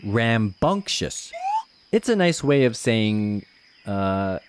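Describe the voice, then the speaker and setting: A man playing a patient father who gently teases, reassures and explains things in an animated children's storytime. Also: lowish voice, takes it slowly, background noise. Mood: gently teasing.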